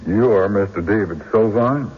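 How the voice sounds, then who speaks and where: An actor playing a shrewd, courteous country lawyer cross-examining a witness in a radio drama, deliberate and probing. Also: male voice, lowish voice, close to an everyday voice. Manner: deliberate, probing, folksy hesitations. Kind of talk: old-time radio drama acting. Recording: dull radio recording, faint noise.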